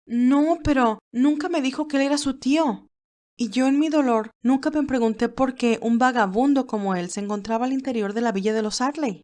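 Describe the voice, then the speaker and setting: A woman's voice: high-pitched, fairly quick, darkish tone, voice pushed up, natural voice. A woman voicing an emotional, defensive young nurse in acted audiobook dialogue, earnest and emphatic. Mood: defensive, hurt.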